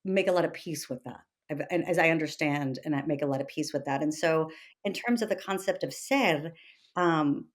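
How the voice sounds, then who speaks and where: A woman in her early fifties from Texas speaks as an interview guest in a slightly low register. Her delivery is conversational and personal, emphatic, and often halting as she rephrases herself. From Texas, United States. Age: early 50s. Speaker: podcast guest, author and meditation teacher.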